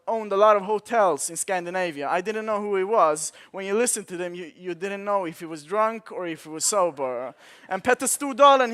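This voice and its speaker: A man's voice: high-pitched, sharp tone, smooth. Impassioned young Swedish-accented man. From Sweden. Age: 20s. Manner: impassioned, rhetorical repetition. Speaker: conference speaker. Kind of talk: motivational keynote speech. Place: conference hall.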